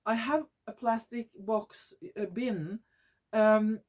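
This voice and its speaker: A woman from Norway with a Norwegian accent, hosting her own vlog in English, which is not her first language, often pausing to search for words and restarting her sentences. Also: mid-range voice, a little mellow. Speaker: YouTube channel host. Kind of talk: casual vlog monologue.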